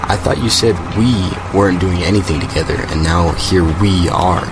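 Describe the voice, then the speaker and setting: A man's voice: sits low, moderate pace, vocal fry, noisy background. A man playing a wary, grudging subordinate in an audio drama. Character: wary, grudging male subordinate, full of questions. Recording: music behind.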